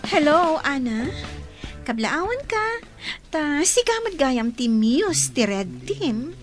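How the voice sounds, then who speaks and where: A woman's voice: sits high, sing-song, slow, soft background music, exaggerated voice. A woman playing a catty, scheming teenage schoolgirl in an audio drama, with a sassy, theatrical delivery. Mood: sassy, teasing.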